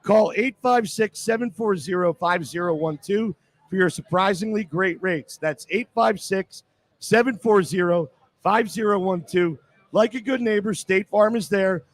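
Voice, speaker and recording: A man with a high voice hosts a live sports radio show. He grumbles and needles the people around him, slipping sarcastic digs even into his sponsor reads. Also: a little slow. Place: live remote broadcast at a casino.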